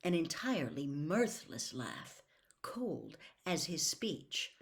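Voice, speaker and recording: A woman storyteller narrating a book dramatically, with a theatrical, deliberate delivery. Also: low voice, big pitch swings.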